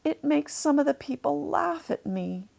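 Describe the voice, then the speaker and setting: A woman's voice: high voice, animated, a little slow, vocal fry, a little mellow, voice pushed up, full character voice. A woman voicing a friendly, lumpy manatee in a children's storybook, apologetic and matter-of-fact.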